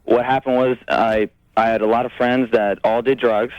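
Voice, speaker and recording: A young man calling a radio show, talking in an earnest, halting, rambling way about himself. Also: mid-range voice, little pitch movement, smooth, no room sound, lo-fi sound. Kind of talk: personal call-in conversation.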